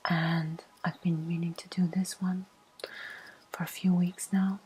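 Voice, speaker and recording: A woman telling a personal story as an ASMR host in a breathy, hushed, mostly whispered voice with slow pauses. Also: lowish voice, level tone.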